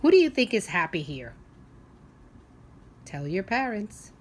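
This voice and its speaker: A woman teacher leading a read-aloud for young children, asking simple questions and pausing for them to think. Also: mid-range voice, very animated.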